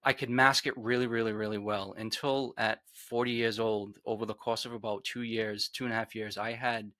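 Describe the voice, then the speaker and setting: Low, bright-voiced man with Rhode Island accent. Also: level tone, normal speed, no room sound. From Rhode Island, USA. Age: 40s. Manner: earnest, reflective, trails off quietly. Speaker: podcast guest, author. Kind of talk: candid personal storytelling.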